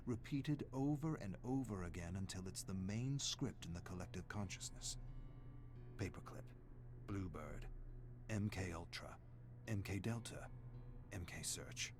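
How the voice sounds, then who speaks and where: A man playing a brooding, menacing narrator who tells a dark horror game story in a deliberate, dramatic way. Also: lowish voice, animated, noisy background. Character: brooding, menacing male narrator of a sadistic doctor's thoughts. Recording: music playing behind the voice.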